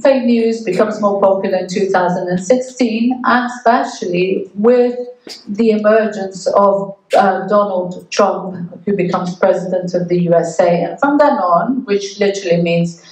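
A woman interpreting a remote talk in the first person, measured and careful, with pauses between phrases, heard over a video call. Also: mid-range voice, darkish tone. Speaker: interpreter voicing a remote speaker. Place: remote feed played into a lecture room. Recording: video-call audio heard far off in an echoey room.

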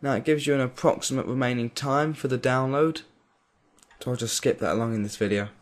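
A man giving a clear step-by-step voice-over for a software tutorial. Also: mid-range voice, steady tone, a little fast. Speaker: tutorial creator. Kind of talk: screen-recorded software tutorial.

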